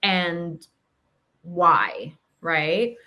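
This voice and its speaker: A woman's voice: lowish voice, expressive, drawn-out words, sharp tone. A woman in candid, reflective conversation, thinking aloud emphatically in short, broken phrases. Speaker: podcast host sharing personal reflections on grief.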